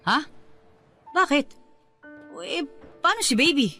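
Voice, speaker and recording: A woman's voice: high-pitched, animated, takes it slowly, pushed and bright, faint music behind, heavily acted. An actress playing a stubborn, possessive young mother, demanding and protesting in Filipino radio drama style. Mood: hurt, defensive. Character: stubborn, possessive young mother refusing to let her suitor go.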